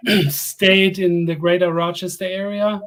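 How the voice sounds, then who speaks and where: Middle-aged German caller, higher voice. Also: male voice, little pitch movement, unhurried, a bit bright. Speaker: caller, fan from abroad. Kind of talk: casual sports chat.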